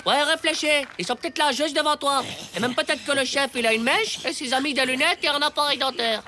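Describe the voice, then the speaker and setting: A woman voicing a cheeky, boastful ten-year-old boy in a cartoon, slangy and quick to protest. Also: sits high, sharp tone, a little hiss or hum. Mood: insistent. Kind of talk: animated cartoon dub.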